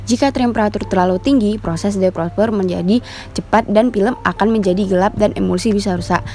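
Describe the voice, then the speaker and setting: A woman's voice: mid-range voice, fairly quick, dark tone, slight background noise. A woman reads a formal, scripted instructional voice-over in the manner of a narrator. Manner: formal, read-out. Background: music.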